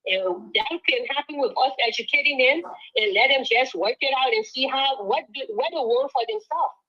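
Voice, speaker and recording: A woman's voice: normal speed, pushed and bright. A Liberian woman calling in to a talk show, arguing politics with rising passion. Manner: impassioned, rising pitch when pressing points. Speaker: caller. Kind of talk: call-in political commentary. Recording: phone line audio.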